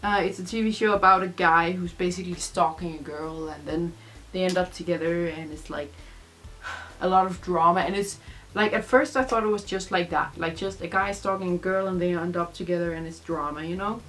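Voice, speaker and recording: A woman's voice: on the low side, steady tone, brisk, fairly bright tone. A young woman from Denmark, a native Danish speaker, chatting casually through vlog tag questions in a rambling way with many fillers. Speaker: vlogger.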